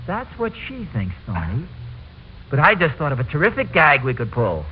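Mild radio husband, swooping pitch. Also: male voice, wildly swooping pitch, normal speed. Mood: scheming. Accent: American. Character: mild, good-humored suburban husband and father. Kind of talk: old-time radio situation comedy acting. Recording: muffled, noisy old radio recording.

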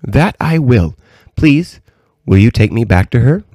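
An inquisitive little princess from a storybook, acted by a man raising his pitch. She sounds innocent and eager, forever asking questions, in a dry, close recording. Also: sing-song, a little slow, dark tone, no echo. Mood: delighted. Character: curious, eager little princess, a young girl.

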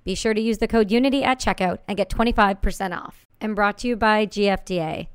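A woman reading scripted sponsor ads for a podcast in a brisk, promotional way. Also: mid-range voice, smooth, dry recording, studio quality. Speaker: ad reader.

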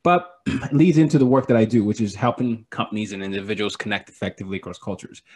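A man's voice: fairly low pitch, fast talker, a little mellow. A man from Nigeria, a podcast guest telling stories in a playful, joking way and laughing often. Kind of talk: casual storytelling interview.

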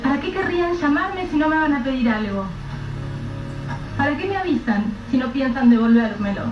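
A woman's voice: high-pitched, expressive, moderate pace, over music. A distraught, anguished mother. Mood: frantic. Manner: anguished, pleading questions. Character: distraught adult mother whose husband was taken. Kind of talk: radio drama acting. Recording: echoey, distant, dull lo-fi with faint hiss.

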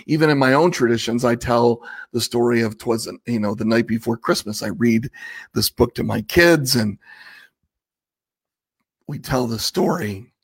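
A man with a slightly raspy voice hosting a casual livestream, rambling conversationally and asking viewers questions. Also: mid-range voice, unhurried. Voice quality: a little raspy. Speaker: livestream host.

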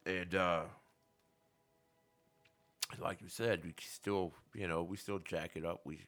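Deep-voiced, forceful male co-host; earnest preacher-style podcast talk. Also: fairly even intonation, takes it slowly. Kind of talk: casual faith podcast chat.

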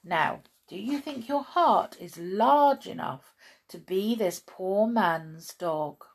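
Woman playing a kindly little storybook gentleman, creaky voice. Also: medium pitch, lively intonation, takes it slowly, heavily acted. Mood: kind, encouraging. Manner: gentle, earnest, sympathetic. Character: kindly little fat man, gentle and encouraging.

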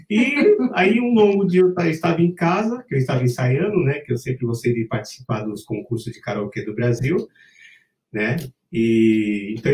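A man telling a story in a relaxed, rambling way, keeps tagging his lines with little questions. He sounds set back from the mic, in a roomy space with plenty of echo. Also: fairly high pitch, distant mic. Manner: rambling, frequent "né?" tags. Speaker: interview guest, singer. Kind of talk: casual storytelling.

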